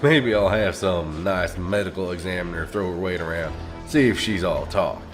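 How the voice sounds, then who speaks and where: A man's voice: deep voice, moderate pace, soft background music, natural voice. A man playing a mocking police colleague, talking in a smug, casual, taunting way.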